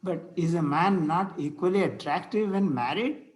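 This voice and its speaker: A man reading the part of a pious, pedantic, gentle clergyman in an amateur table read, formal and a little halting. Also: high-pitched, close to an everyday voice. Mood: mildly pedantic.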